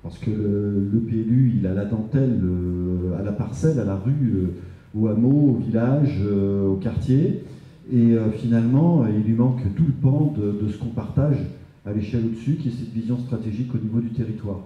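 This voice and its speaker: A man giving spontaneous interview testimony, explaining in a halting way. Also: on the low side, highs cut off. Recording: very echoey room, faint background noise.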